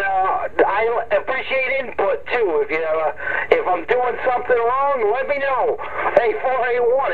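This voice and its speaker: A friendly, courteous man chatting casually as a CB radio operator. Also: high-pitched. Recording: tinny radio sound, clear static.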